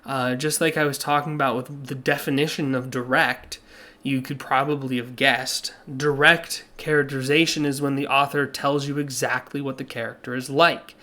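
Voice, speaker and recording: A man teaching a recorded class lesson over slides, with a slightly hoarse voice and a plain, patient way of explaining that uses many "uh" fillers. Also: mid-range voice, flat delivery, moderate pace, pushed and bright.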